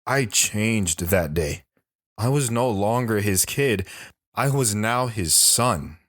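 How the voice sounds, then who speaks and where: Reflective man recalling boyhood acted by a man, lowish voice, dry close sound, audio drama narration. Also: animated, slow, fairly bright tone, dry recording. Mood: nostalgic. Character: reflective grown man recounting his boyhood memories. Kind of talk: first-person audio drama storytelling.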